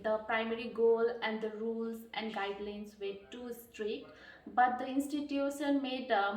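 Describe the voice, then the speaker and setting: A woman in her thirties from Nepal, answering mock job interview questions earnestly, pausing with fillers and restarting phrases. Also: fairly high pitch. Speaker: job candidate. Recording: echoey room, distant mic.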